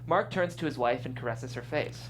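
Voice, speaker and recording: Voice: medium pitch, brisk, a little hiss or hum. A reader narrating the stage directions in a script table read.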